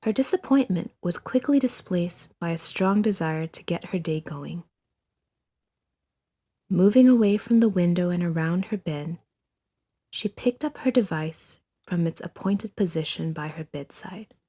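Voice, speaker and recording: A woman reading scripted fiction as an audio drama narrator, in a measured storytelling delivery. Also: lowish voice, animated, warm tone.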